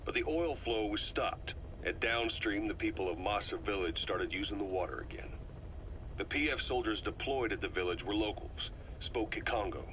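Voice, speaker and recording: An actor playing a stern, probing military intelligence officer in a video game, with measured, clipped delivery. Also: male voice, fairly low pitch, a bit bright, slight background noise, close to an everyday voice, low-quality recording. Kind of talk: dramatic video game dialogue.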